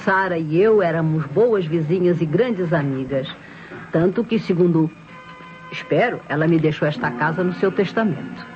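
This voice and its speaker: A woman's voice: fairly low pitch, moderate pace, close to an everyday voice. Brazilian actress playing a chatty self-assured lady neighbour, insistent and proper, old radio drama, muffled recording. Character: self-assured, talkative female neighbour and witness. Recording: dull old radio recording, music behind.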